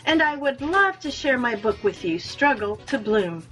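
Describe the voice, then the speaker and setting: A woman's voice: fairly high pitch, very animated, normal speed, a bit bright, soft background music. A woman hosting a radio show, chatting and reading scripted announcements. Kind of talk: friendly interview sign-off and scripted sponsor reads.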